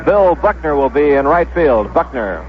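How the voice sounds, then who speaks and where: Higher-voiced American baseball announcer, vintage radio sound. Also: male voice, very animated. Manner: measured announcing, repeats names for clarity. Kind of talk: 1970s radio sports commentary, pregame lineups. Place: stadium broadcast booth. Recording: dull lo-fi vintage radio broadcast.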